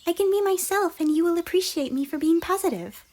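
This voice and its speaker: A woman voicing a chirpy, affectionate young pony in soft, gentle ASMR roleplay, often slipping toward a whisper. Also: very high-pitched, fairly quick. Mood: tender, sincere. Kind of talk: roleplay ASMR character dialogue. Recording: noisy, birdsong and outdoor ambience behind. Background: birdsong and nature ambience.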